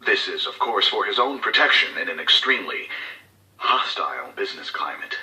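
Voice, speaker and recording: A man's voice: lowish voice, lively intonation, fairly quick, bright, forceful tone, reverberant, distant mic, low-quality recording. An actor playing a cold, scheming male corporate executive who gives covert orders in formal, clipped, authoritative speech for a game audio log. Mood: stern, controlling.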